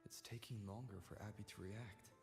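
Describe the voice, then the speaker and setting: An actor playing a calm, caring young male adventurer in a video game, measured and matter-of-fact. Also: lowish voice, music in the background, lightly acted. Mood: calm, concerned.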